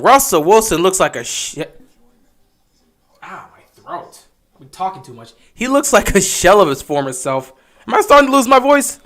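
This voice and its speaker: Excitable man calling plays on a livestream. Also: a little high. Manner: excitable, rising sharply on big plays. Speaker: livestream game commentator. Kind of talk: casual live play-by-play commentary.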